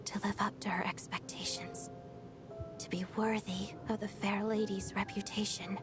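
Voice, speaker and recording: A woman playing a melancholy young ballet dancer in a video game, speaking softly and breathily in a restrained, reflective, measured way. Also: mid-range voice, little pitch movement, whispered, faint music behind, close to an everyday voice. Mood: wistful, resigned. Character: melancholy young female ballet dancer and former Fatui trainee. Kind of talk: video game story dialogue.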